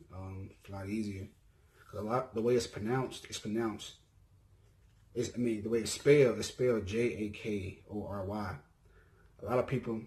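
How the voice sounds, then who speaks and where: A man's voice: fairly low pitch, darkish tone. A man in his twenties from Mississippi with a Southern accent, casually introducing himself on his video channel, rambling with many ums and self-corrections. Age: 20s. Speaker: YouTuber.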